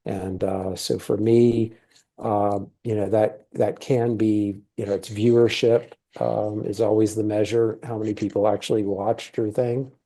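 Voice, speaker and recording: Low gravelly man, laid-back talker. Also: little pitch movement, a little slow, warm tone. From Thailand. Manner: easygoing, rambling with many fillers. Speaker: guest, TV series producer. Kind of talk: casual interview conversation.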